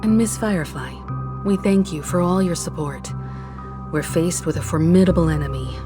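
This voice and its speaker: A woman's voice: on the low side, normal speed, over music, natural voice. A woman voicing a poised, gracious leader. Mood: composed. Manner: calm, courteous and composed.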